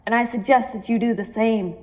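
A woman's voice: on the high side, fairly even intonation, fairly quick, close to an everyday voice. A woman playing a loving wife in an audio drama. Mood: coaxing. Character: loving wife of a haunted household. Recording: noisy track with clicks.

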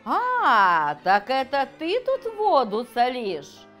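A blustering old grandpa carp from a children's storybook, acted out by a woman. He grumbles gruffly yet has a kind streak, with animated, swinging intonation. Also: high voice, slow, faint music behind, voice pushed up, full character voice. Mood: grumpy, indignant. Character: grumpy old male carp, gruff but kindly.

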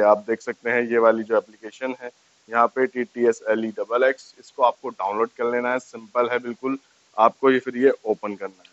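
A man's voice: medium pitch, no room sound. Dull-sounding man explaining step by step. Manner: explanatory, repeats each step. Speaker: tech tutorial host. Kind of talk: casual step-by-step phone tutorial to camera. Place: small furnished room indoors, on a sofa. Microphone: lapel mic.

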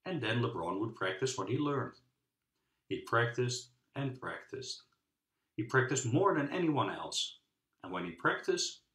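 Male storybook narrator, very varied intonation, measured dramatic phrasing, echoey room. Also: fairly low pitch, a bit bright. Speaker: storybook reader. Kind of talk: children's book read-aloud narration. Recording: roomy, echoing sound.

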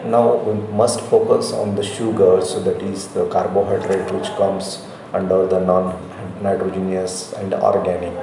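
A middle-aged man with an Indian accent giving a slide lecture as a college professor, speaking in halting, repetitive phrases. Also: sits low, little pitch movement, gravelly. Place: indoor room, recorded lecture. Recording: very echoey room, faint noise.

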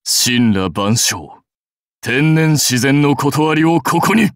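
An actor playing a proud, fierce warlord general in a mobile game, terse, rough and commanding. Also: male voice, medium pitch, expressive, slow, no room sound, clean studio sound. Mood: solemn, resolute. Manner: terse, rough, declamatory delivery. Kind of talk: anime-style mobile game battle and dialogue voice lines.

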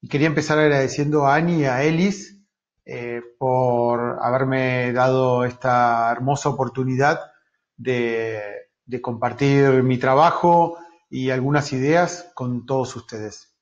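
A middle-aged man with an Argentine accent from Rosario gives an online architecture lecture in a halting, reflective way with long pauses. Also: medium pitch, fairly even intonation. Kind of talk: online lecture on own work. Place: small book-lined room indoors. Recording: dull, lo-fi web-call audio.

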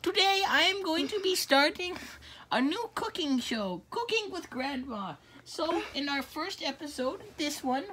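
A very high-pitched elderly grandma bear , acted out in a homemade puppet skit, hosts a cooking show at an unhurried pace. She is cheerfully muddled and halting. The home recording has clear background noise. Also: fairly bright tone. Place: small bedroom, indoors. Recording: noisy home recording with clicks.

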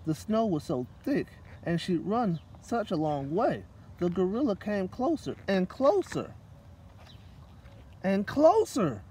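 A man's voice: high voice, big pitch swings, slow pace, warm tone. A middle-aged man reading a children's picture book aloud as a guest, outdoors at a park table. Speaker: guest story reader. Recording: distant microphone, light outdoor noise.

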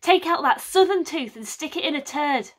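A woman's voice: sits high, lively intonation, moderate pace, pitched above the performer's own voice. A woman voicing a blunt, mocking male shepherd.

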